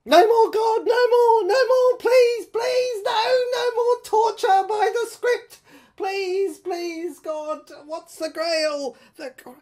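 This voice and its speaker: A woman's voice: very high-pitched, slow, a little mellow. A woman playing a tormented visionary in an audio drama, wailing and pleading desperately with repeated words. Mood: anguished, pleading. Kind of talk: melodramatic amateur audio drama acting.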